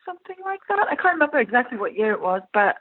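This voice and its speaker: A woman's voice: on the high side, very animated, rapid-fire, breathy edge. Woman with an English accent, Iranian-born, chatty actress guest, lots of fillers, thin phone-like sound. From Iran. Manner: chatty, laughs often, many fillers. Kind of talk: casual interview talk. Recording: tinny phone-like line.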